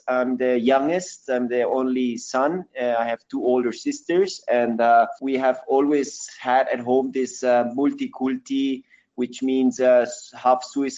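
A mid-pitched man in his thirties from Ecuador answers an interviewer in careful second-language English. He talks haltingly, full of fillers and false starts, and the recording sounds muffled and lo-fi. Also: a little mellow. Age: 30s. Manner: halting, many fillers and restarts. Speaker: mountaineer guest.

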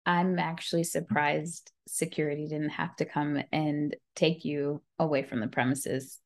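Upbeat, teasing woman with lowish voice. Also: little pitch movement, measured pace, darkish tone. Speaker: podcast co-host. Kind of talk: casual chat.

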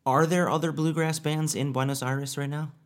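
A man's voice: fairly high pitch, fairly even intonation, moderate pace. A man hosting a music show from a living room studio, introducing a band and chatting with them easily. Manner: easygoing, chatty, warm greetings and laughs. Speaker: radio show host. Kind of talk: show intro and casual interview.

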